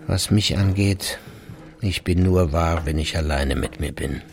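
Gravelly, low-voiced brooding older man. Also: noisy background, full character voice. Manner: muttering, halting, often dropping to a near whisper. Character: retired, brooding married man, secret drinker, evasive and self-justifying. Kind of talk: literary radio drama interior monologue.

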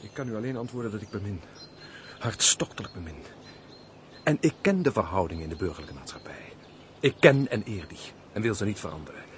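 An actor playing an earnest, impulsive, lovesick aristocratic major who speaks formally in a Dutch radio play. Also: male voice, lowish voice, big pitch swings, a little fast, slight background noise. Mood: fervent, defiant. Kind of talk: Dutch radio play, period stage dialogue.

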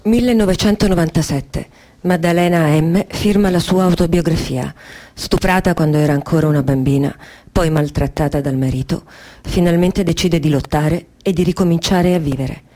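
An actress as a sober female narrator in a radio drama. Her voice is low and mellow. She reads scripted biographical lines. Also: moderate pace, close to an everyday voice. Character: sober female chronicler of a survivor's life.

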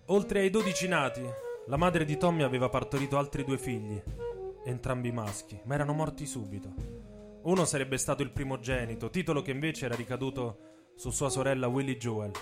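Male voice, middle pitch, scripted dramatic narration, radio theatre storytelling, prominent sax and drum backing. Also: moderate pace. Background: saxophone and drums music.

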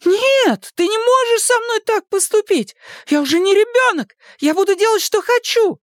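A woman voicing a rebellious, hysterical nineteen-year-old daughter in a dramatised audiobook, shrill and indignant in her protests. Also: lively intonation, normal speed, no room sound, studio quality. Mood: indignant, defiant.

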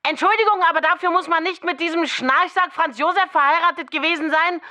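A woman's voice: very high-pitched, brisk, pushed and bright. An actress playing an insistent, indignant East German woman who rants against aristocracy and talks over others in a radio play. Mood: scornful. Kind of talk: heated opinion monologue in a radio play. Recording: music underneath. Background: music.

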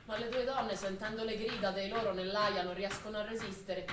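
A woman's voice: mid-range voice, fairly even intonation, fairly quick. A woman delivering dramatic scripted narration as a stage actress. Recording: echoey, distant, faintly noisy stage recording.

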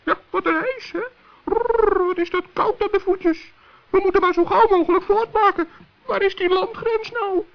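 A performer playing a small, polite, anxious male forest gnome in a children's radio play, with a squeaky, hesitant voice. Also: moderate pace, gravelly. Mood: earnest. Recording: dull old radio play recording.

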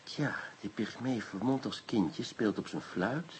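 A man playing an excitable, bossy police inspector in a radio drama, blustering and commanding. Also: lowish voice, measured pace, slight background noise, close to an everyday voice. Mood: brisk, musing. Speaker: actor in a radio play. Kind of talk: Dutch radio drama acting.

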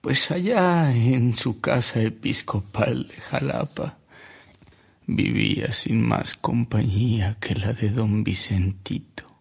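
An actor playing a man who recounts anecdotes in a radio drama, with an unhurried, theatrical delivery. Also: mid-range voice, vocal fry, a little mellow, husky, exaggerated voice. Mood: reverent storytelling. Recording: music bed under the voice.

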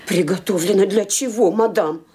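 A woman's voice: mid-range voice, normal speed, mellow, close to an everyday voice. A woman plays a loyal old maidservant fussing over her mistress. She speaks deferentially and pleadingly, with a tearful edge, in the manner of a dramatic audio play. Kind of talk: Russian audio drama acting.

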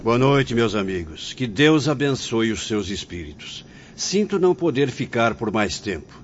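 A man's voice: mid-range voice, big pitch swings, moderate pace, a little hiss or hum, lightly acted. A Brazilian man acting a kindly, authoritative priest spirit in a radio drama, speaking formally. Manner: formal, benevolent. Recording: dull lo-fi radio drama, faint music.

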